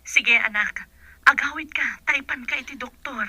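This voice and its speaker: A woman's voice: high voice, normal speed, bright, forceful tone, slightly rough, dry recording, exaggerated voice. A woman playing a worried middle-aged mother in a radio drama, with a Filipino accent. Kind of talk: Ilocano radio drama acting.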